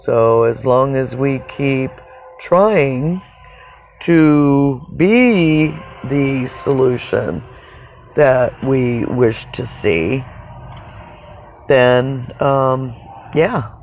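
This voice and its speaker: A person with a raspy voice talks in a casual, rambling livestream monologue, halting and reflective, often pausing mid-sentence. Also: mid-range voice, a little hiss or hum. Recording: dull, narrow phone-like sound.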